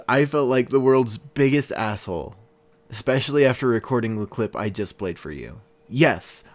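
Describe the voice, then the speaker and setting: A man playing an earnest, weary podcast investigator, narrating a true-crime case in a reflective, deliberate way with dramatic pauses. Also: mid-range voice, natural voice.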